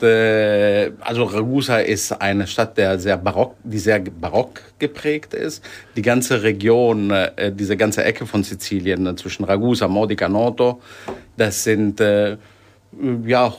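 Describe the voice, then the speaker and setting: A man's voice: lowish voice, a little slow. A man from Sicily as a guest, talking casually with many hesitations. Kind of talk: casual chat.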